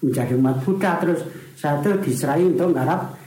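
A man's voice: on the high side, brisk, dark tone, roomy sound. A man in his sixties from East Java with a Javanese accent, reminiscing hesitantly in a casual interview, with many fillers and restarts. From East Java, Indonesia. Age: 60s. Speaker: interviewee, retired village official.

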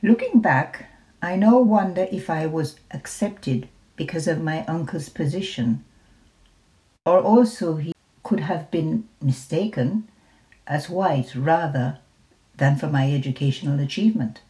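Older lady reading her life story, low voice, measured and careful. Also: expressive, dark tone, poor audio. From Jamaica. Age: 70s. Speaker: retired nurse. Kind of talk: reading a personal memoir.

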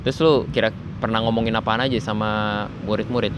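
A man's voice: lowish voice, steady tone, fast, noisy background. A man hosting a casual interview, teasing and prompting his guest with short replies. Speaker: interviewer host.

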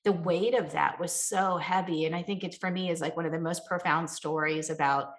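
A woman's voice: fairly low pitch, steady tone, fairly quick. A woman author answering questions in a virtual talk, earnest and reflective, with fillers and restarts. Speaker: author and guest speaker.

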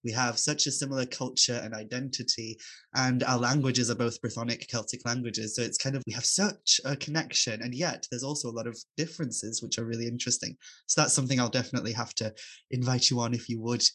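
A man from Wales hosting a podcast, with a voice of middling pitch. He speaks with enthusiasm but hesitates often, filling gaps with small fillers. He sounds eager to keep the conversation going. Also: fairly even intonation, quick pace, a bit bright. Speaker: podcast host. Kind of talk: casual interview chat.

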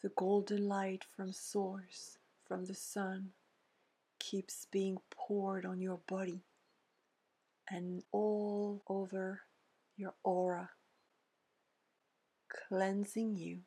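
A woman leading a guided meditation in a soft, breathy, near-whispering voice, gently soothing, with pauses between short phrases. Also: medium pitch, level tone. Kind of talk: guided meditation, scripted narration.

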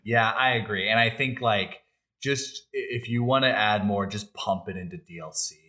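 A man with a low-pitched voice talks as a podcast co-host. His delivery is informal and conversational, as if working out his opinion while he speaks. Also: moderate pace, pushed and bright. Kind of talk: casual chat about gaming and film news.

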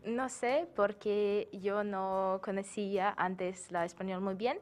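A woman's voice: mid-range voice, steady tone, slow pace, darkish tone, smooth. A young woman from Moscow with a Russian accent, a guest exchange student answering in simple, polite learner's speech. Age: young adult.

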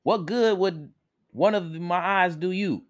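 A man's voice: high-pitched, slow pace, pitched above the performer's own voice. A man voicing a weary reluctant buffalo. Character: big patient male buffalo.

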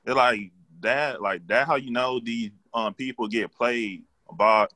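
A man with a deep voice chatting casually, swearing and using lots of fillers. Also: unhurried, sharp tone, no echo. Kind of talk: casual chat.

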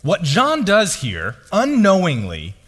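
A young man with a deep voice delivers a sermon in a relaxed, conversational tone, poking fun at himself. His pitch moves a lot from line to line. The sound carries the long reverb of a large hall. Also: slow, slightly rough. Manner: lively, conversational, self-deprecating storytelling. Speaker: pastor.

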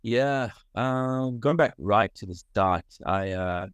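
Man, very low voice, dry close sound, earnest, halting speech, doctor from Sri Lanka. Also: smooth, no room sound. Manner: earnest, with frequent pauses and fillers. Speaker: guest, medical doctor. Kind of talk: reflective interview answers.

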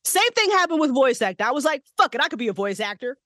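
A woman voice actress and writer, a podcast guest, talking excitedly and swearing freely about her projects. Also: high-pitched, fast, dry recording, clean studio sound. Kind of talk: casual podcast interview. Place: small handmade vocal booth.

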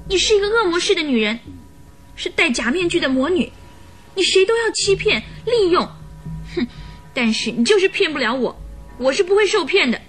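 A stubborn young lady suspect in a radio drama, high voice, tense and defensive, dramatic. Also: fairly bright tone. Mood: agitated. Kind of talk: Chinese radio drama acting.

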